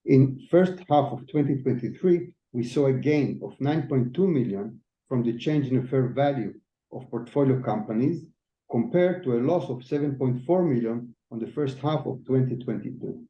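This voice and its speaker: Male CFO reading prepared results formally; echoey room, somewhat muffled low-fidelity recording. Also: moderate pace. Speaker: chief financial officer. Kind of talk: scripted financial presentation. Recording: echoey, slightly dull audio.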